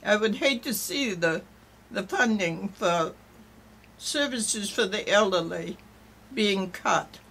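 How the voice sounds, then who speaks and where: An earnest speaker giving measured testimony about care for the elderly. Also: medium pitch, expressive, sharp tone, slightly rough. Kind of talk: spoken testimony.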